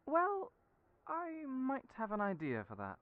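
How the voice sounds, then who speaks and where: A chatty, flamboyant hairdresser, voiced by a man, whose pitch swoops up and down as he fusses over everyone. He calls people dearie with a smooth, polished tone, though the recording sounds a little dull. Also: wildly swooping pitch, measured pace, no echo, exaggerated voice. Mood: persuasive. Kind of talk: audio roleplay acting.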